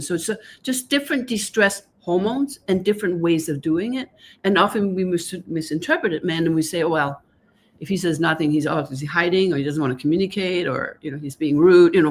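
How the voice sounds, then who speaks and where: A woman's voice: lowish voice, slightly rough. A woman from Denmark with a Danish accent, a guest author on a live video call, explaining her ideas earnestly and often checking in with "right?". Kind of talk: online live conversation.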